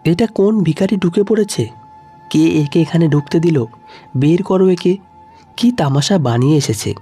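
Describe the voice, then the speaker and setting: A man's voice: on the high side, normal speed, warm tone, slightly rough, faint music behind. A man voicing an arrogant, short-tempered male shop owner in a story, furious and bullying. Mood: outraged.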